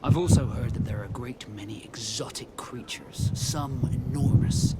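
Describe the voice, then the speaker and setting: A man playing a proud, seasoned sea captain in scripted video game dialogue, speaking in a measured, formal and deliberate way. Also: medium pitch, very noisy, lightly acted.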